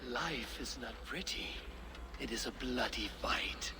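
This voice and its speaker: An actor playing a stern, battle-weary Viking warrior in a video game, solemn, terse and menacing. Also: male voice, mid-range voice, a little slow, background noise, close to an everyday voice. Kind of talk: dramatic video game acting.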